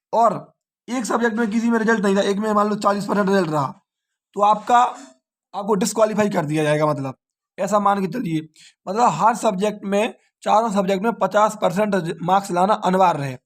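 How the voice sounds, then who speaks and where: A man speaks like a coaching teacher. He explains in an informal lecture style, going back over key points and posing short questions that he answers himself. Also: high voice. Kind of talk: informal lecture on exam details.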